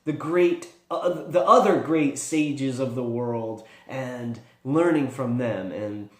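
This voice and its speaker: A man talking casually as a tabletop gaming vlogger, rambling and thinking aloud in an animated way. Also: mid-range voice, slow pace. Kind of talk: casual, rambling vlog talk. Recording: echoey room.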